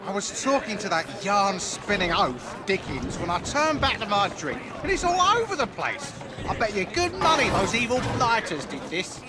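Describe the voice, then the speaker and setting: A man's voice: sits high, big pitch swings, normal speed. An actor playing a drunken, whiny Victorian man in a video game cutscene, slurred and theatrical. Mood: indignant.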